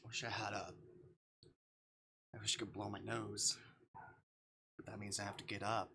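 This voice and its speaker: A man's voice: on the low side, little pitch movement, moderate pace, gravelly, noisy background. A man casually commentating on a livestream, rambling to himself and reading game text aloud. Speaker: live streamer playing visual novels.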